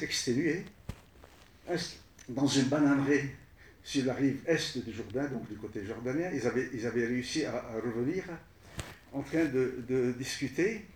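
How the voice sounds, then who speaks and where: A man whose first language is Arabic, recounting his film work to an audience in a halting way, repeating words as he restarts. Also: on the high side, far from the mic, a little hiss or hum. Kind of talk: spoken recollection to an audience. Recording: echoey room, voice from off-mic.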